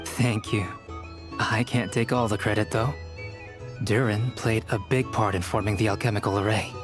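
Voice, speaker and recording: A man voicing a calm, courteous young alchemist scholar in a video game, speaking precisely and formally. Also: on the low side, normal speed, lightly acted. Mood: gracious. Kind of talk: video game voice acting, measured explanation. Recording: music behind voice.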